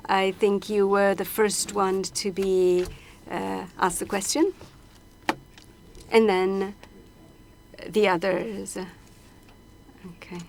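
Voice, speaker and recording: A woman interpreting a panel discussion simultaneously, halting often with hesitations and restarts as she renders each speaker. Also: mid-range voice, gravelly, a little hiss or hum. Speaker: conference interpreter.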